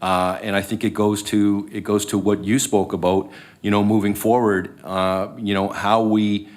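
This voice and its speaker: A man speaking as a town councillor at a meeting, halting and full of fillers. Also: on the low side, level tone.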